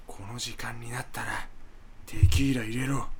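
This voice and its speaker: A man playing a bossy senior hanger-on in a comedy radio drama, curt and gruff with his underling. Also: mid-range voice, flat delivery, moderate pace, crowd noise, natural voice. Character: bossy male senior hanger-on bullying a junior.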